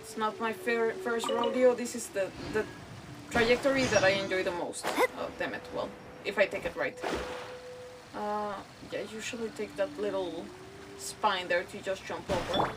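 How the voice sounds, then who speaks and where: A woman's voice: medium pitch, steady tone, normal speed, background noise. A woman streaming a game with casual commentary, muttering and repeating herself. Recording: distant mic under loud game music.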